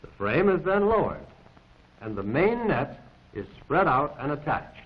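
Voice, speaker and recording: A man reads formal, scripted instructional narration in a measured, precise, impersonal way, as in a 1940s training film. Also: fairly high pitch, big pitch swings. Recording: dull vintage 1940s film soundtrack.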